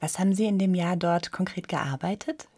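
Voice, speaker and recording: A woman radio host interviewing guests and moderating the program with polite, measured questions. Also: on the low side, a little mellow, slightly rough.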